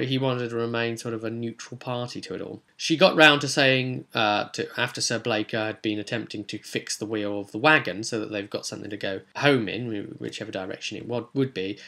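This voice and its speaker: Male game master and host, bright forceful voice, conversational storyteller recapping roleplay sessions. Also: medium pitch, fairly quick, vocal fry. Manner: conversational storytelling, acting out characters' remarks.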